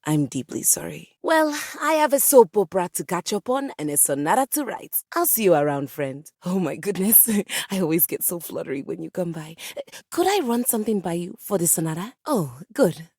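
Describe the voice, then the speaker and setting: A woman's voice: lowish voice, sing-song, moderate pace, darkish tone, slightly rough, no room sound, studio quality, heavily acted. A woman playing a proud, fussy living piano in a video game, speaking as a theatrical lady composer with formal, haughty diction. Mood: awkward, friendly, then fluttery, shy. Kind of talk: video game character dialogue.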